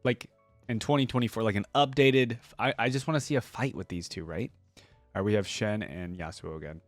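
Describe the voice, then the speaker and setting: Casual male video host, lowish voice, dry close sound, light music bed. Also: fairly quick, dry recording. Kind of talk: casual commentary reacting to game voice lines.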